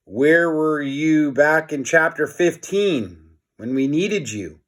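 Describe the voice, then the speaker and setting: An excitable young schoolboy from a storybook adventure, acted out by a man. He is sharp-witted and exclamatory, speaking at a raised pitch. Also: takes it slowly. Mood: alarmed.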